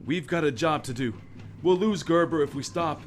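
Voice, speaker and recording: A man's voice: a little high, normal speed, a little hiss or hum, close to an everyday voice. A man playing an urgent, commanding hero. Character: determined male crime-fighting hero. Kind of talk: amateur radio play acting.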